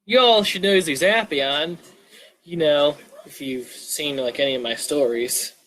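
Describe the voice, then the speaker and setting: Male live storyteller, fairly high voice, informal reading with small stumbles and restarts. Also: a little slow, a bit bright, poor audio. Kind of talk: live story reading, animated narration with casual asides.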